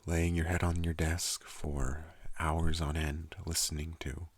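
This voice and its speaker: Super low raspy guy doing slow calm asmr talk, half whispering right into the mic. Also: no room sound. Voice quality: deep gravelly soft voice. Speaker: ASMR channel host. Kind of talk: soft-spoken ASMR talk. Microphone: close studio microphone.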